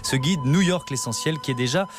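A man's voice: a little high, animated, fairly quick, music in the background. A man co-hosting a radio show, asking questions and thanking guests in a friendly, jokey way. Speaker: radio co-host.

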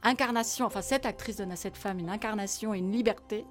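A woman's voice: medium pitch, fast talker, faint music behind. A woman, a magazine editor, reviewing a film on radio in a thoughtful, critical way, with occasional stammering.

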